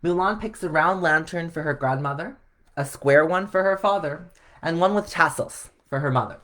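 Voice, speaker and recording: A man reading a children's story aloud in an expressive way. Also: on the high side, normal speed. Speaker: storybook reader.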